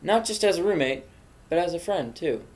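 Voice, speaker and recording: A man's voice: on the high side, lively intonation, normal speed, a little mellow. A young man hosting a mock safety video, giving solemn, preachy advice in an earnest scripted narration. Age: young adult. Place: dorm room indoors. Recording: echoey room.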